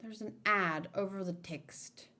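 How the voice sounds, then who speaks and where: A woman hosting a casual livestream, talking in a rambling, halting, easily distracted way. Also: medium pitch, sharp tone. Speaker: livestream host doing manga read-alongs.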